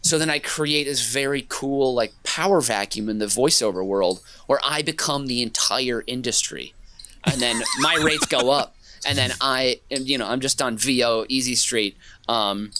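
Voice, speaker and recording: A man riffing casually on a comedy podcast, tripping over fillers and restarts as he teases himself. His voice is bright and forward, at an average pitch for a man. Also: unhurried, a little hiss or hum. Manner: rambling, self-mocking, lots of fillers and restarts. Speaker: podcast co-host.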